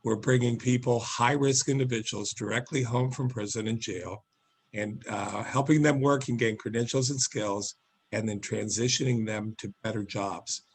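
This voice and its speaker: A man with a raspy voice today, introducing himself in a friendly, joking way on a webinar panel over video call. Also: medium pitch, fairly even intonation, moderate pace, rough recording. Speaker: panelist, enterprise founder.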